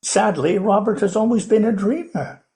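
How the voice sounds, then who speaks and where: An actor playing an elderly, genteel plantation owner in a radio play, pompous and courteous, rambling with many hesitations. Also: male voice, high voice, normal speed, mellow. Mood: exasperated. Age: older.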